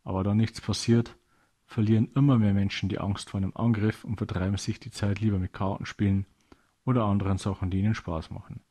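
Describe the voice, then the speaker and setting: Slightly husky low-voiced man telling stories. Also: level tone, a little fast, mellow. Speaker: storyteller. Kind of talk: scripted children's audio story narration.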